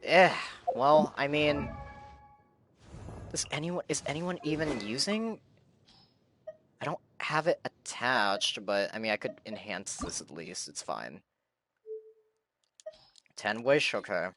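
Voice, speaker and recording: A man's voice: medium pitch, animated, takes it slowly, pauses often, a bit bright, faint music behind. A man chatting casually on a livestream while gaming, repeating himself in playful, pleading fashion. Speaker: game live streamer. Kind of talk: casual livestream chat while playing a game.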